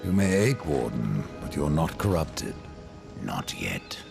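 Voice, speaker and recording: A grim rider of death in a fantasy video game. The low voice is thick with gravel, terse and sardonically dry, over loud music. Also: male voice, sing-song, measured pace, full character voice. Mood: grim, sardonic. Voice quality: very gravelly, deep.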